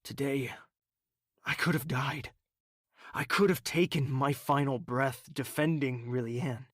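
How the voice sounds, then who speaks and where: A man's voice: medium pitch, steady tone, slow pace, fairly bright tone, no echo, studio quality. A man playing a troubled, self-doubting young royal in an audio drama, with an earnest, hesitant, introspective manner. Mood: anguished, brooding.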